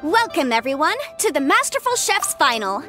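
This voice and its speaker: A woman's voice: high voice, sing-song, moderate pace. A woman playing a poised, upbeat young contest host in a video game, with a formal, showy announcer's delivery. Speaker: competition host. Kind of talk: video game cutscene acting. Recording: music playing behind the voice.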